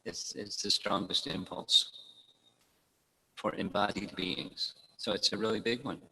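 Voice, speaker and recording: A man giving a devotional talk to a congregation, speaking in a measured way, repeating key phrases and quoting Sanskrit verses. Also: on the low side, steady tone, gravelly, pushed and bright. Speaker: spiritual teacher. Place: temple hall. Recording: long reverb in a hall.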